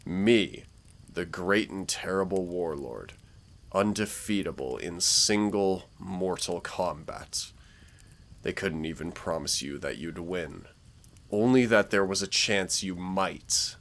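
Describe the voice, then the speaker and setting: A man playing a menacing, smooth-talking dark warlord in a roleplay audio drama, theatrical, deliberate and condescending in his persuasion. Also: low voice, lively intonation, full character voice. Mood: scornful, indignant. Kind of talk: scripted roleplay audio drama, villain monologue.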